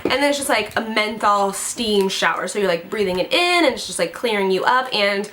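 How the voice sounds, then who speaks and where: A woman's voice: medium pitch, lively intonation, brisk, heavy background noise. A young woman in her twenties chatting casually as a beauty vlogger, gushing and bubbly, a little congested from a head cold. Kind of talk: casual chat to camera.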